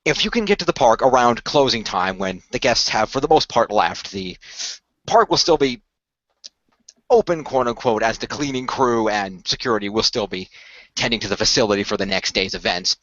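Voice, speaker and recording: A man's voice: mid-range voice, expressive, normal speed, a bit bright, no room sound, lightly acted. A man playing an earnest, persuasive company recruiter in a radio play, speaking formally and wordily with careful hedges. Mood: reassuring, persuasive. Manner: formal, wordy, persuasive, hedging. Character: earnest male company recruiter pitching secret magical project. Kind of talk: improvised radio play roleplay. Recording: dull lo-fi voice recording.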